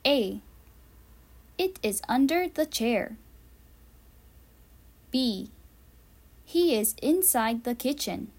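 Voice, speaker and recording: A woman teacher reads out a learners' quiz, pausing between each answer choice. Her delivery is very slow and deliberate, each word carefully enunciated. Her voice sits a little high. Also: animated. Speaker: English teacher.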